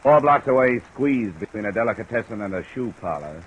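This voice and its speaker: An actor playing a tough, wry insurance investigator in a 1940s radio drama, speaking in a clipped, sardonic way. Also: male voice, on the low side, lively intonation, fairly quick, breathy edge, narrow, tinny audio. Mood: tense narration. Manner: clipped, hard-boiled, sardonic. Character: tough, wry male insurance investigator narrating his case. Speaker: lead actor and narrator. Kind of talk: 1940s radio detective acting. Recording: noisy vintage radio recording.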